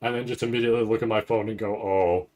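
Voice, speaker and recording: A man giving casual, rambling live commentary as a streamer, halting and restarting often as he thinks aloud. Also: lowish voice, fairly even intonation, darkish tone. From Canada. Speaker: streamer reacting to a live anime event.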